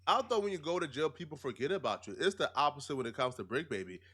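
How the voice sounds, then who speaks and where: A man's voice: a little high, lively intonation, fast talker, fairly bright tone, breathy edge. A man giving explanatory commentary in an emphatic, rhetorical way with streetwise phrasing.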